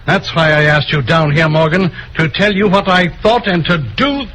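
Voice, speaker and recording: A man's voice: a little high, moderate pace, a bit bright, natural voice. An American man playing a stern, self-righteous newspaper publisher with a hectoring, preachy manner in an old radio drama. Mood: scornful, righteous. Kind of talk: 1940s-50s American radio drama acting. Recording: noisy old radio recording.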